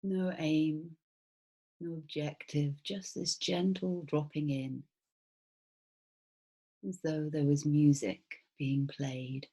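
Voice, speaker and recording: Low, very slow, breathy woman guiding meditation. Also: steady tone. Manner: soft, soothing, unhurried with long pauses. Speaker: mindfulness teacher. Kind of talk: guided body scan meditation.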